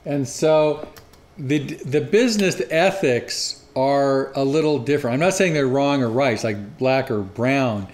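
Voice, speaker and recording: A man's voice: medium pitch, unhurried, a little mellow. A male co-host with a mid-range voice, chatting casually. He speaks in an upbeat, promotional way about the show. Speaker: podcast co-host. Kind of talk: casual chat.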